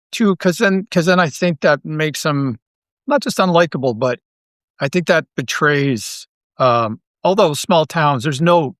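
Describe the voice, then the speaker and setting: A man's voice: a little high, dry recording, clean studio sound. A Canadian man chatting casually as an interview guest, often breaking off and repeating words as he tells his story. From Toronto, Canada. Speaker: podcast guest, audio drama writer.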